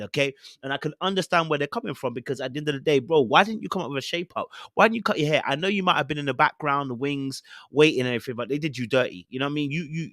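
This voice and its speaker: A young man with a London accent chatting casually as a podcast host, giving opinionated, slangy reality TV commentary with animated, theatrical retellings. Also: fairly high pitch, no echo, clean studio sound.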